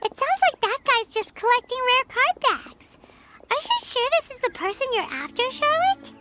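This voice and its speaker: A woman voicing a small, childlike, perky and curious fairy companion in a video game, squeaky and excitable. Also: normal speed, over loud music. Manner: excitable, refers to self in third person.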